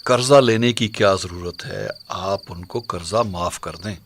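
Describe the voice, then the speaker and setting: A man's voice: deep voice, a little slow, a little hiss or hum. A man playing an arrogant, entitled army captain and son in a radio drama. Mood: questioning.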